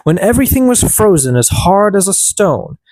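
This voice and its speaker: An audiobook narrator reading a fairy tale as expressive scripted narration. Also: medium pitch, moderate pace.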